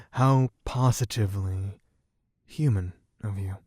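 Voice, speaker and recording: A man voicing a suave, possessive, bloodthirsty vampire in a low, husky, teasing voice for an ASMR roleplay. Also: darkish tone, dry recording, clean studio sound. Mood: smug, seductive. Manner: slow, teasing, theatrically genteel, purring endearments.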